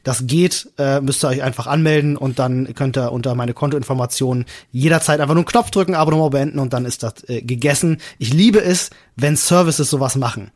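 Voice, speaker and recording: A man's voice: medium pitch, fairly quick, few pauses. A man chatting casually and enthusiastically as a podcast co-host, in colloquial language.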